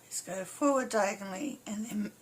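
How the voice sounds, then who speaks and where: A woman with a slightly hoarse, croaky voice giving a casual step-by-step craft tutorial in short, informal phrases as an instructor. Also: medium pitch, moderate pace, a little hiss or hum. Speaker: embroidery instructor.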